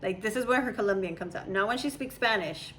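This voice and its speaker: Rambling, laughing young woman in a casual chat with her daughter, talking in a middle-pitched voice. There is a faint hiss of room noise behind her. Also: fast, fairly bright tone. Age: young adult. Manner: chatty, rambling, laughs often. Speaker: mother.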